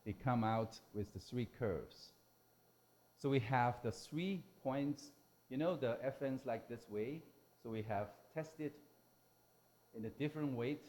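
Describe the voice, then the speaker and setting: A man who is a non-native English speaker giving a technical lecture in halting, simple phrases. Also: medium pitch, dark tone. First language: not English. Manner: halting, hesitant delivery with simple broken phrasing. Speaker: technical presenter at a symposium.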